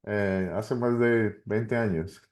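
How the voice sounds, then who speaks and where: A man's voice: fairly low pitch, fairly even intonation, extremely slow, a little mellow. A Colombian man, a pastor, casually hosting an online class in an encouraging way with short repeated acknowledgements. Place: online video call.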